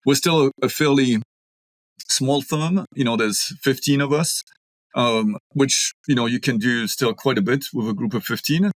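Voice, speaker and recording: A man's voice: mid-range voice, normal speed, dry recording, poor audio. A middle-aged Belgian man, French his first language, speaking as an architect guest in an interview, thoughtful with many ums and restarts. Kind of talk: conversational interview.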